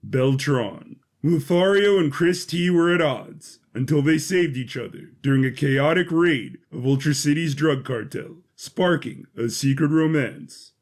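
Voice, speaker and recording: A man giving scripted narration as the storyteller of a science-fiction soap opera. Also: fairly high pitch.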